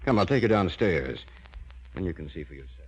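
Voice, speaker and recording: An actor playing an obsessed older scientist in 1950s radio drama style, persuasive, theatrical and deliberate. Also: male voice, sits low, expressive, breathy edge. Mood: confident, intent. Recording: muffled, noisy old 1950s radio recording.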